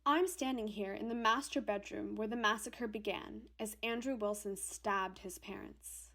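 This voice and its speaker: A woman's voice: medium pitch, normal speed, a bit bright. A woman playing a domineering, impatient leader of a paranormal investigation in a radio drama, with a commanding, irritable delivery. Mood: solemn, staged. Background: music.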